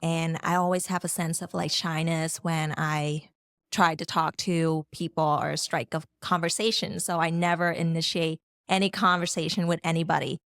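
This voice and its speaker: Woman from Vietnam, Vietnamese speaker, smooth clear voice, dry close mic. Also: deep voice, little pitch movement, moderate pace, no echo. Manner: easygoing, laughing, lots of fillers. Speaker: podcast guest. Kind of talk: casual podcast chat.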